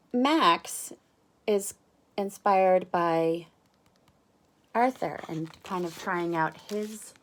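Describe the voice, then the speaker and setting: A woman reading a picture book aloud to young listeners, adding chatty asides, questions and exclamations. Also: medium pitch. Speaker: read-aloud storyteller.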